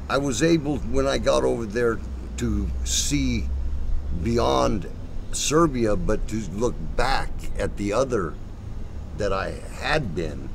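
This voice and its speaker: A man with a lowish voice answers interview questions very slowly. His casual talk is halting, with pauses mid-phrase, recorded on location over clear vehicle noise. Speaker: interview guest. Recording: noisy location sound with vehicle rumble.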